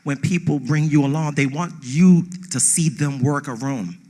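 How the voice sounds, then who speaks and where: A man's voice: fairly high pitch, moderate pace, warm tone. A man giving an emotional, off-the-cuff acceptance speech on stage, speaking emphatically and repeating phrases for effect. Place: on stage at a theatre awards ceremony.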